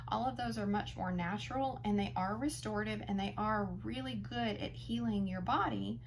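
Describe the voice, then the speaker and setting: Earnest gravelly-voiced woman in her thirties. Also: mid-range voice, slight background noise, rough recording. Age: 30s. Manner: earnest and empathetic, speaks directly to the viewer. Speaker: vlogger sharing her chronic pain story. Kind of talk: heartfelt personal testimonial.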